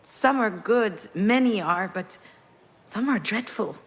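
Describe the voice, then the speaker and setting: A woman's voice: mid-range voice, expressive, slow pace, husky, heavily acted. An actress playing a wistful, wounded woman parting from her ex, speaking in a reflective, intimate way in a naturalistic game performance. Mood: torn, resigned. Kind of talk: naturalistic visual novel voice acting.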